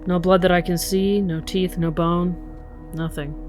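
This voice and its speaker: An actress playing an angry, sardonic, foul-mouthed police detective in an audio drama, with a blunt delivery. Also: female voice, lowish voice, little pitch movement, unhurried, darkish tone, faint music behind, lightly acted. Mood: dry sardonic.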